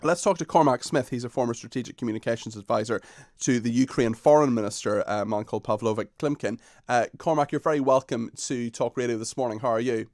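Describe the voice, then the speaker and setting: A male radio host in a studio, asking questions in a brisk, conversational way. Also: medium pitch, darkish tone, no echo. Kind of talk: radio interview.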